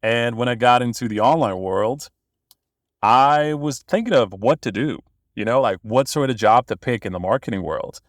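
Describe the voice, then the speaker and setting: A man's voice: mid-range voice, normal speed, dry recording, studio quality. A young man from Bosnia, a guest in a podcast interview, telling his story with enthusiasm. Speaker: guest, copywriter.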